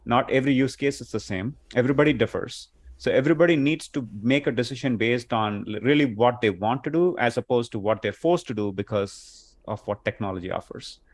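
A man's voice: medium pitch, a little fast, hardly any pauses. A man with a mid-range voice shares his opinions in a loose tech chat. He fills his sentences with "like" and keeps checking in with "right?". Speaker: co-host. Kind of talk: casual tech discussion.

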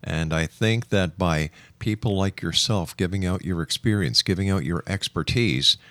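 A man hosting a radio interview show, speaking in a measured, emphatic way with deliberate pauses. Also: low voice, a bit bright, no room sound. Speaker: radio talk show host.

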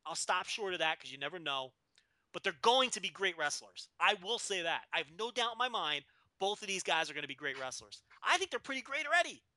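A man in his thirties with a notably high, very bright and forceful voice, talking in a rambling, profane and enthusiastic way. The sound is dry and close to the mic, with no room echo. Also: big pitch swings, fairly quick. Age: 30s. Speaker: podcast co-host. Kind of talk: casual wrestling podcast chat.

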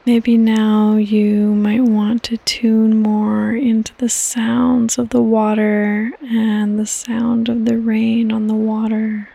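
A woman hosting a guided sleep meditation in a soft, breathy, partly whispered voice, with calm, gentle instructions and long pauses. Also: fairly high pitch, no echo. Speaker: podcast host and meditation guide.

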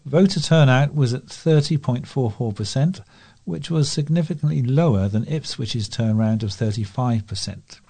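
A man's voice: medium pitch, moderate pace, dark tone, husky. A man with a British accent reading scripted local news as a volunteer newsreader.

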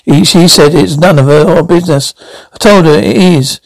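A man's voice: fairly high pitch, normal speed, creaky, no room sound, poor audio. A man retelling a story and reading its dialogue, stumbling with many restarts.

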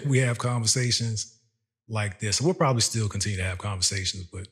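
Husky-voiced guy hosting a podcast, upbeat, chuckles a lot. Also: on the low side, fairly quick. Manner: upbeat, friendly, chuckles often. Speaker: podcast host. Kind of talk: casual interview hosting.

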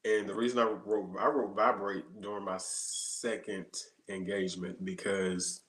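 A man's voice: low voice, steady tone, a little slow, a bit bright. A man in his thirties chatting casually as an interview guest, explaining his songs as a singer-songwriter. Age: 30s. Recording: roomy, echoey sound.

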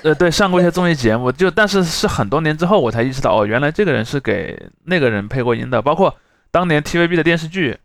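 A man hosts a casual podcast conversation at a brisk pace. He works through his points with examples in an explanatory way. The sound is dry, with hardly any room in it. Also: on the high side, animated, no echo. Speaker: podcast host. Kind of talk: casual chat.

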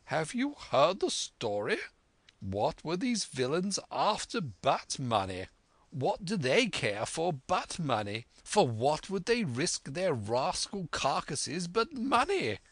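A man's voice: fairly high pitch, huge pitch swings, slow, fairly bright tone, dry recording, pitched above the performer's own voice, heavily acted. A man voicing a blustering, hot-headed, pompous English squire in a storybook reading, exclamatory and emphatic. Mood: scornful, emphatic. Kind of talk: audiobook character voice.

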